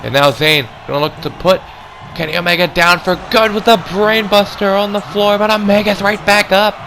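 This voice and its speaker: A man doing hoarse, excitable play-by-play commentary on a wrestling match, calling moves and near-falls. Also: high voice, moderate pace, slight background noise. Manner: excitable, calls moves and counts.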